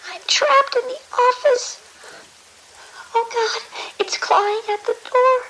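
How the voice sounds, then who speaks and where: An actress playing a terrified, trapped female doctor in a horror audio drama, halting, pleading and panicked. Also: very high-pitched, steady tone. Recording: faint hiss and clicks.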